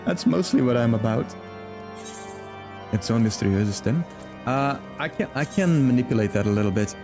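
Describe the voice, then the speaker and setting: A chatty man, live-streaming a game and greeting his viewers by name. His voice is friendly and mid-pitched, and he laughs often. Game music plays behind him throughout. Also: animated, moderate pace. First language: Flemish. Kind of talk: casual live-stream chat.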